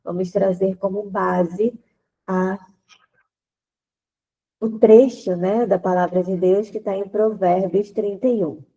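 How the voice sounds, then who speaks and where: Slow, congested woman with a gravelly, mellow voice. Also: medium pitch, steady tone, low-quality recording. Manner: gentle, deliberate, repeats phrases while searching for words. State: congested, nasal from sinusitis. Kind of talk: prayer then slow spoken teaching.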